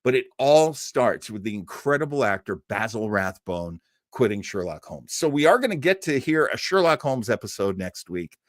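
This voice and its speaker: A man hosting a live show, chatting casually with viewers in a halting, self-deprecating way and laughing easily. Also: mid-range voice, very animated, no echo, studio quality. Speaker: host of a live old-time radio show stream. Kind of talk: casual live chat with viewers, rambling sign-off.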